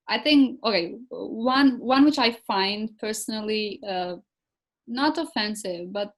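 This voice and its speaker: A woman from Kosovo with an Albanian accent, a native Albanian speaker, talking as an interview guest and hesitantly searching for words. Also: fairly high pitch. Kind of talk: conversational interview.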